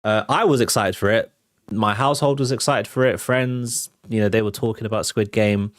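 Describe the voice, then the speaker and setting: A British man with a fairly low voice hosts a casual entertainment livestream. He talks conversationally with relaxed slang, working through his opinions out loud. The sound is dry, with no room echo. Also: moderate pace. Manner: conversational, slangy, thinking aloud. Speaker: host of an entertainment news livestream. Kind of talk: casual livestream commentary on film and TV news.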